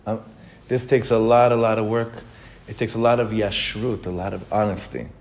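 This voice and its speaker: Earnest rabbi teaching a class. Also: male voice, lowish voice, steady tone, background noise. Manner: earnest, self-questioning, repeats phrases for emphasis; reads Hebrew text quickly, then explains it. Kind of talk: informal Torah talk.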